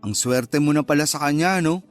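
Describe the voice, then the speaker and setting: A man's voice: a little high, fairly quick, faint music behind, natural voice. A man playing the wistful, sulky lover of a married woman in a Tagalog radio love drama, casual and understated. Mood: pensive.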